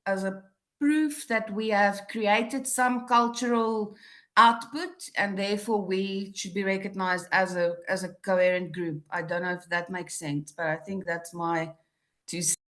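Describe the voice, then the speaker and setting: A woman's voice: medium pitch, fairly even intonation, a little slow, fairly bright tone. A woman from South Africa whose first language is Afrikaans, answering as a panelist in an academic discussion over a video call, tentative and correcting herself.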